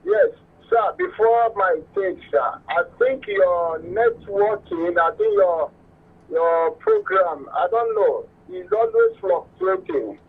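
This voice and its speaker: Telephone caller, man, Nigerian accent, gravelly, swooping intonation, deferential, casual, narrow distant phone sound. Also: extremely high pitch, wildly swooping pitch, slow pace. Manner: deferential, frequent "sir". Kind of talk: casual call-in talk. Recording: tinny, distant phone line.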